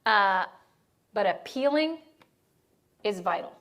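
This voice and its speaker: A woman's voice: medium pitch, lively intonation, slow pace, a bit bright. A woman leading a training session on disaster assistance, talking casually and checking in with the group as she goes. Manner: conversational, checks in with "right?" and "okay?". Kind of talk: training presentation.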